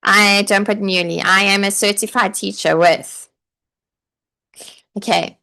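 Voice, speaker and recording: A woman's voice: medium pitch, steady tone, measured pace, vocal fry, pushed and bright. A woman coaching aspiring online teachers in a live stream, chatty and encouraging, with many "like" and "okay" fillers. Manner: chatty, encouraging, reads viewer comments aloud. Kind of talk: live online training session.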